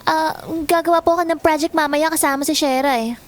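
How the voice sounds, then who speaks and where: A woman acting a high-voiced resentful schoolgirl daughter. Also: fairly even intonation, quick pace, close to an everyday voice. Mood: guarded, polite. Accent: Filipino. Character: resentful schoolgirl daughter, polite outwardly, bitter inside. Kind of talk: Filipino audio drama acting. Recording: music bed behind the voice.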